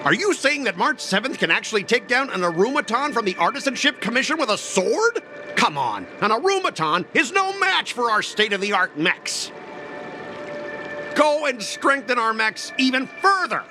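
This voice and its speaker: A man voicing a pompous, blustering corporate boss, indignant and exclamatory, in an anime-style game. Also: sits high.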